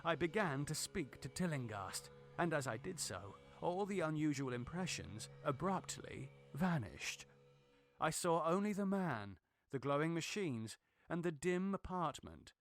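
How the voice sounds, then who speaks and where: A man reading literary horror as a dramatic narrator, in a measured, grave and deliberate way. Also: fairly high pitch, very animated, faint music behind. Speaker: narrator, audiobook reader.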